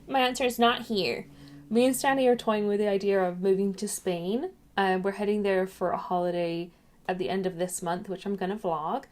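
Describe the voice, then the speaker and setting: A woman's voice: mid-range voice, steady tone, moderate pace, darkish tone. A young woman chats casually to her viewers at home, rambling and drifting off topic as she talks into a webcam. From England, raised in Ireland. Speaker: YouTuber. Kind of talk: casual chatty vlog.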